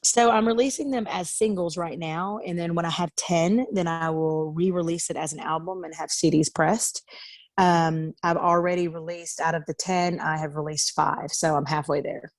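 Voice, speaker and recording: Woman from Arkansas, country singer guest, tired raspy voice, lowish pitch, dry close sound, chatty with fillers. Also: steady tone, normal speed, no echo. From Arkansas, USA. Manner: chatty, laughs easily, many fillers. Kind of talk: casual interview chat. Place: sitting in bed at home.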